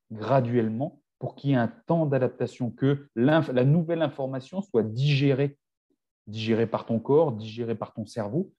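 Forties guy, fitness coach, chatty and halting, warm low-key voice, bit muffled. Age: 40s. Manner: hesitant, many restarts and fillers. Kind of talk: casual podcast conversation.

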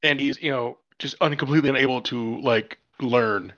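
A man chiming into a podcast with wry, quick remarks at a middle pitch. His voice comes through a dull, lo-fi microphone. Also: a bit bright. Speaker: podcast co-host. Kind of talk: casual podcast chat. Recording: dull lo-fi mic.

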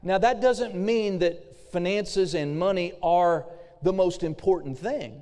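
A middle-aged male pastor preaching a fervent sermon with frequent amens, his voice slightly hoarse and a little raspy. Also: high voice, slow, mellow. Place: church sanctuary. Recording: long hall reverb.

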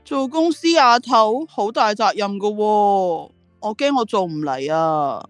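A woman's voice: mid-range voice, lively intonation, unhurried, dry recording, faint music behind, natural voice. An actress plays a driven woman who runs an ad agency in a radio drama. She speaks with a Hong Kong Cantonese accent and very varied intonation, by turns proud and reflective. The recording is dry. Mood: defensive. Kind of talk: radio drama dialogue and monologue.

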